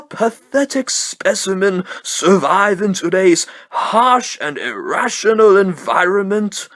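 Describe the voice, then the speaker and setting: Mad clown supervillain: slow, sardonic showman delivery, huge pitch swings, cartoon menace, dry close sound. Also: no echo, full character voice.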